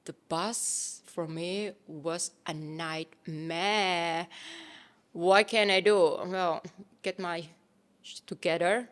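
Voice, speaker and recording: A woman in her thirties from Thailand speaks as a guest in a casual interview. Her voice sits fairly low and her delivery is slow. She talks in a relaxed way, with fillers, restarts and phrasing.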